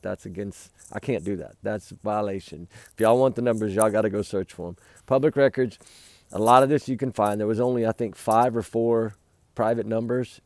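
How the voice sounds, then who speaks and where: A man talks to his viewers in a rambling, earnest way from a campfire at night. His voice is hoarse and gravelly, with a mellow, dark tone. The sound is dry and close, with no echo. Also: on the low side. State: losing his voice. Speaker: livestream host. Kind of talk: casual night talk to viewers.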